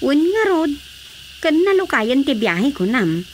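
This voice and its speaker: An everyday Ilocano housewife talking in a radio drama. Her pitch is notably high, and faint crickets chirp in the background. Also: sing-song, measured pace, a little hiss or hum. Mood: conversational.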